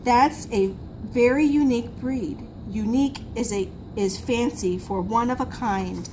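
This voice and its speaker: A woman reading a children's picture book aloud in an expressive, emphatic storytelling manner. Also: a little high, measured pace. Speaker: school assistant reading to pupils. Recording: noisy room recording.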